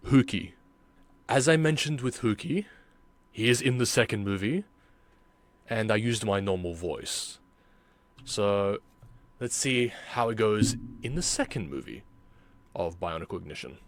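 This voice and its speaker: A man's voice: on the low side, lively intonation, unhurried, long pauses. A man giving casual, reflective and self-critical commentary as a video creator and amateur voice actor reacting to his work.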